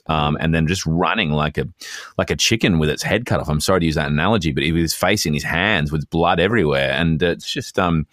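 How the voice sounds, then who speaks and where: Australian male co-host, low register, dry room sound, discursive and casual sports talk. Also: fairly bright tone, no echo. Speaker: podcast co-host. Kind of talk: casual chat about sport.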